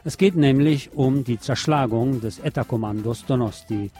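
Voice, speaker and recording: A man's voice: medium pitch, moderate pace, dark tone, soft background music. A man presenting a scripted film review as a radio host.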